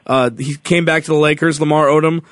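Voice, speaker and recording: A man's voice: a little high, fairly even intonation, brisk, a bit bright, dry recording, studio quality. A man hosting a sports talk radio show, chatting casually with opinions and small self-corrections.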